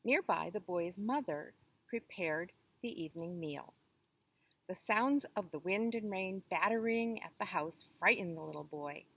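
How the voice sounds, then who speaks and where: A woman reading a children's story aloud with expressive, deliberate phrasing. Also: fairly low pitch, slightly rough.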